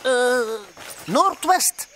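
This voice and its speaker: A man's voice: extremely high pitch, extremely slow, background noise, full character voice. A man voicing a bumbling, hesitant airline pilot from a comic book, stammering as he goes. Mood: dithering. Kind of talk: comic book read aloud in character.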